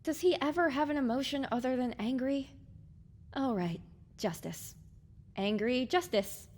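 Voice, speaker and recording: A woman's voice: high voice, a little slow. A woman voicing a young pony heroine who is exasperated, curious and sarcastic, in an audiobook drama. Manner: animated, indignant, questioning. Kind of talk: audiobook drama character acting.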